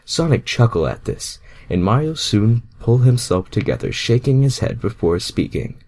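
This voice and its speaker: A man's voice: medium pitch, big pitch swings, moderate pace, a little mellow. A man doing a casual amateur story reading, self-deprecating and breaking off to comment. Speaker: amateur fan fiction reader.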